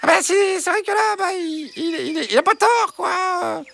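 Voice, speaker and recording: A woman's voice: extremely high pitch, moderate pace, gravelly, fairly bright tone, a little hiss or hum, exaggerated voice. A woman voicing a flustered, wary storybook hen who dithers and stammers in everyday colloquial speech. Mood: doubtful. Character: flustered, wary hens debating in a henhouse.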